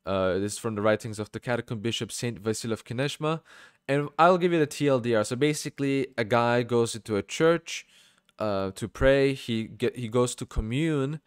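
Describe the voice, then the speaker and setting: A man's voice: medium pitch, normal speed, clean tone, dry recording, studio quality. A man giving informal religious commentary and scripture readings, emphatic, with colloquial asides and rhetorical questions.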